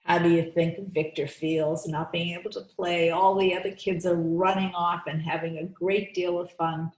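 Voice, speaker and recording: A woman reading a storybook aloud to young children, expressive and coaxing, stopping to put questions to her listeners. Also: lowish voice, moderate pace. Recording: roomy, echoey sound.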